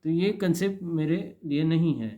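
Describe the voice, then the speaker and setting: A man's voice: fairly high pitch, moderate pace, warm tone. An Indian man in his thirties giving a casual, confessional monologue to camera, rambling and repeating phrases for emphasis. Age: 30s. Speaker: vlogger. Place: indoor room. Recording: dull, lo-fi.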